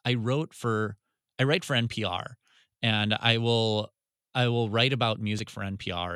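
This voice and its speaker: A man's voice: medium pitch, fairly bright tone, dry recording, studio quality. A man, a radio music director answering interview questions in a searching way, full of restarts. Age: 30s.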